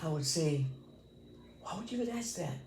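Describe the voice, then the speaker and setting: Man in his 60s, from Texas, gravelly voice, echoey room, reflective interview storytelling. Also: fairly high pitch, animated, normal speed, a little mellow, slight background noise. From Texas, USA. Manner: reflective, earnest storytelling with many restarts. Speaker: interviewee, former pachuco. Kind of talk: casual recorded interview.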